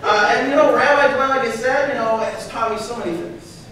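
A man's voice: very high-pitched, a little fast, pushed and bright, a little hiss or hum. A young man standing before a seated crowd, telling self-mocking jokes at a roast in a large banquet hall, picked up by a far camera. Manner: self-mocking joke delivery. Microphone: far room camera.

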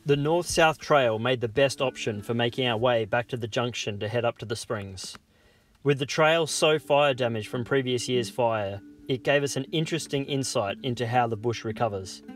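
A man, narrating a bushwalk vlog in a calm, steady voice-over. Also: mid-range voice, moderate pace. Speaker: vlogger narrating a hike. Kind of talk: scripted travel narration. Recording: voice-over with guitar music behind.

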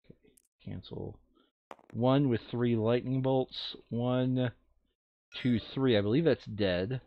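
A man's voice: medium pitch, fairly even intonation, slow, pauses often, lo-fi sound. A man playing a board game over voice chat, thinking aloud casually and muttering counts and rules to himself.

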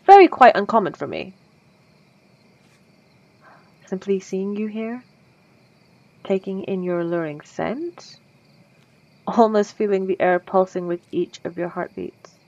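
A woman's voice: mid-range voice, a little slow, darkish tone, dry recording, dull audio, natural voice. A woman voicing a polite, lovestruck female vampire in an ASMR roleplay, speaking formally and old-fashioned with nervous stammers.

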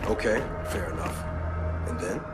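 An actor playing an easygoing, loyal young soldier in a video game, speaking in a casual squadmate's manner. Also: male voice, deep voice, moderate pace, recorded from a distance, close to an everyday voice. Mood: casual, curious. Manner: casual, urgent in combat. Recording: echoey game scene with loud music.

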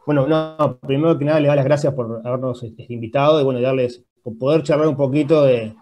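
A man's voice: medium pitch, steady tone, fairly quick, warm tone. A man in his forties with a Uruguayan Spanish accent, giving an informal, explanatory talk on a video call, often adding little checking questions. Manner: explanatory, punctuated with checking tags. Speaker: guest speaker, public-sector IT manager. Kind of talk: informal online panel presentation. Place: indoor room, video call. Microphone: earphone headset on video call.

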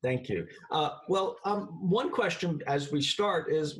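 A man in his sixties conducting a formal interview over a video call, with a halting, hesitant delivery. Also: medium pitch, rough recording. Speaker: interviewer, host. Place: indoor room, video call.

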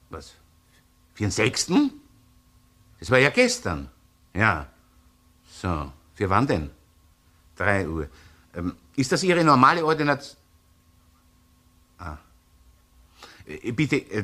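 A shrewd senior Viennese police inspector in a crime drama. His voice is low and very bright, with a forceful edge. He is gruff and sardonic, muttering and probing as he questions. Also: heavily acted. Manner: sardonic, interrogating, hesitant muttering between questions. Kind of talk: naturalistic crime radio or TV drama acting.

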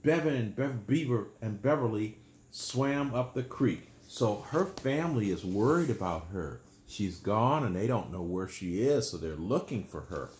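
A man in his sixties reading a children's bedtime story aloud in a small room, pausing to explain things simply and patiently. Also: fairly low pitch, animated, darkish tone, echoey room, a little hiss or hum.

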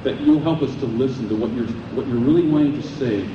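A man giving an earnest evening sermon to teenagers at a camp, hoarse and losing his voice. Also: mid-range voice, quick pace, very noisy. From United States. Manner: earnest, exhorting. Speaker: camp speaker. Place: camp chapel with a live audience. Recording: echoey dull 1980s live tape from far off.

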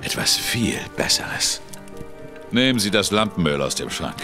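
An actor playing a menacing older ex-boxer thug with a gruff, sneering manner in an audio drama. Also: male voice, sits low, big pitch swings, a little slow, over music, heavily acted. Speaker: villain. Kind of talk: studio audio drama acting.

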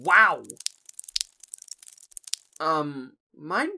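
Casting: man as awkward young cartoon hero, slow delivery with big swings, hesitant. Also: fairly high pitch, big pitch swings, heavy background noise, exaggerated voice. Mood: nervous. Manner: hesitant, deliberate, narrates his thoughts. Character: awkward, nervous young man, observant and polite. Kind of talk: animated fan audio drama acting. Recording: loud music over the voice.

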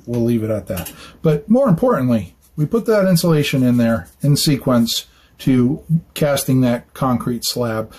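A man's voice: mid-range voice, dark tone, slightly rough. A man in his fifties, an architect, casually explaining a construction drawing in a chatty, informal way with lots of fillers and tag questions. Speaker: architect explaining building details. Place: small studio room with dark curtains.

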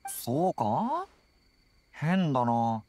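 A man's voice: medium pitch, wildly swooping pitch, very slow, dark tone, full character voice. A man voicing a supportive young dog-eared general in a game, straightforward and encouraging.

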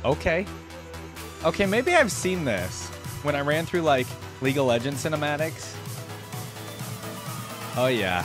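A man's voice: a little high, big pitch swings, a little slow, long pauses. A man in his twenties chatting casually to viewers as a reaction host, in loose, slangy speech, close on a desk microphone. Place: small indoor home streaming setup. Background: electronic music.

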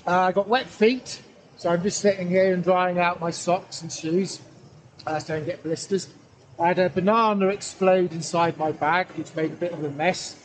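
A man's voice: sits high, steady tone, normal speed. A middle-aged man chatting casually for an outdoor vlog, rambling hesitantly and correcting himself as he goes. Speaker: pipe-smoking vlogger. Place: outdoors in a wooded valley. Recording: outdoor ambient noise, camera at a distance.

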